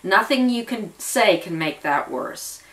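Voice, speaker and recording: A woman's voice: medium pitch, animated, unhurried, a bit bright. A woman voicing a shaken but determined married woman in an audio story, earnest and insistent as she wants answers. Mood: stern, insistent. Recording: voice distant from the mic.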